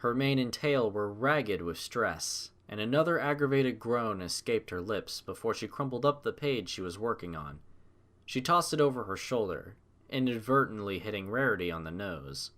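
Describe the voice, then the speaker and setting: A man narrating a scripted story with expressive, dramatic, measured delivery. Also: fairly low pitch.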